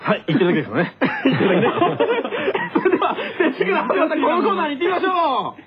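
A man's voice: extremely high pitch, wildly swooping pitch, racing. A man co-hosting a comedic radio show, chatting casually and reacting with giggly amusement. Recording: slightly dull radio recording.

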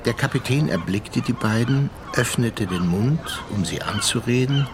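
An actor playing a seafaring narrator in a radio drama, telling the tale in a measured, literary manner. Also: male voice, mid-range voice, animated, noisy background, lightly acted. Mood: measured, recollecting. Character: male seafaring narrator, measured, observant storyteller.

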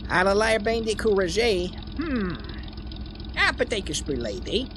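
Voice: mid-range voice, very animated, a little slow, noisy background. An actor voicing a friendly, slangy repairman who mixes English into Quebec French, in an old-time radio comedy. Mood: musing, concerned. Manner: colloquial, franglais, slangy.

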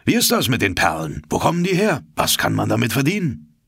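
A man reading an audiobook, voicing a bold, boisterous harpooner with a hearty, loud, brash delivery. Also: mid-range voice, wildly swooping pitch, brisk, creaky, breathy edge, no echo, studio quality, pitched above the performer's own voice, exaggerated voice.

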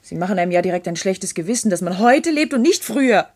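An actress playing a brisk, teasing female office colleague in a 1970s German radio play, flippant and matter-of-fact. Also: mid-range voice, lively intonation, a little mellow, close to an everyday voice. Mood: teasing, impatient.

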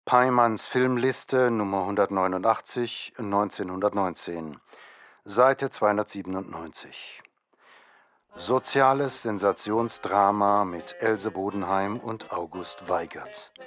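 Low-voiced man reading slowly in radio feature. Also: fairly bright tone. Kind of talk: scripted reading.

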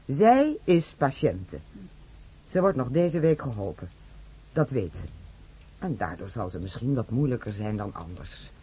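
A stern head nurse from a radio drama. Her husky voice moves very expressively up and down as she reproves firmly, with some concern underneath. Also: extremely low pitch, sing-song, measured pace, mellow, heavily acted. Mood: stern, reproving. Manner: stern, admonishing. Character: firm, strict but concerned female head nurse. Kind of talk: radio play acting.

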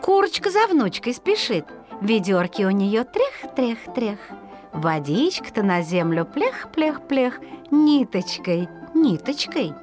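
Female storyteller, high and animated, singsong folksy delivery for a children's folk tale. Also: over music. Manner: singsong folksy storytelling with drawn-out repeated words. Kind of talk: expressive scripted narration of a children's folk tale.

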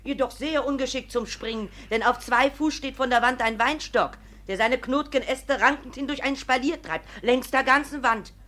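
A woman's voice: high-pitched, moderate pace, few pauses, fairly bright tone. An actress playing a plain-spoken village mother in a 1950s radio play, defensive in tone. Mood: explaining.

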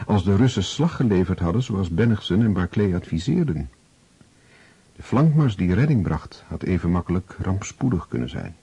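A man narrating a literary radio drama in a measured, serious, scripted manner. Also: deep voice, expressive, dark tone, husky.

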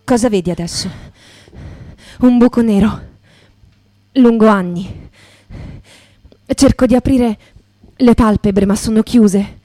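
Solemn female witness, unhurried, poetic radio drama, dry sound, faint music. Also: a little high, warm tone, dry recording. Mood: grave, poetic. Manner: measured, short broken phrases. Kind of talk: poetic radio theatre, spoken and sung.

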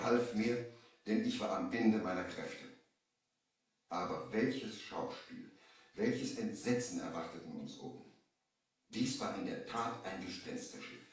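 An actor playing a young merchant who recounts his sea adventure with measured drama in a children's radio play. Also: on the low side, recorded from a distance, natural voice. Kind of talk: 1970s German children's radio play narration. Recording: roomy, echoing old vinyl radio play.

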